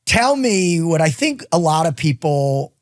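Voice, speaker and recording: Male host, unhurried delivery, earnest and rambling in his questions. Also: fairly high pitch, big pitch swings. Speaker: podcast host. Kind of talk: casual interview talk.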